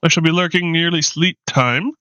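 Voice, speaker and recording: A tired man streaming a game, chatting casually in a low-key, rambling way, his voice slightly hoarse. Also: normal speed, sharp tone, no echo, lo-fi sound. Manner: low-key, rambling with frequent ums and uhs. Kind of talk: casual live-stream chat.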